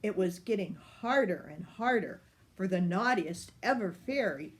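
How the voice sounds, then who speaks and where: An older woman reading a picture book aloud for children in an expressive, unhurried way. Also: mid-range voice. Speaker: storyteller.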